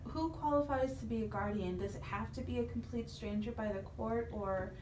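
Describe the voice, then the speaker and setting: A woman's voice: a little high, normal speed, a little mellow. A woman co-hosting a radio talk show, speaking in a presenter's conversational style. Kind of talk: interview questions and announcements. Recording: echoey room with faint noise.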